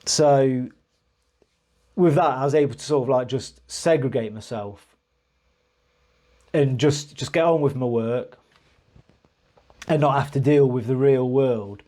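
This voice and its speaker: A man's voice: medium pitch, measured pace, long pauses, mellow. A man telling a personal story to camera in a rambling, hesitant way, with many restarts, and earnest frustration when he recounts his grievances. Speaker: vlogger.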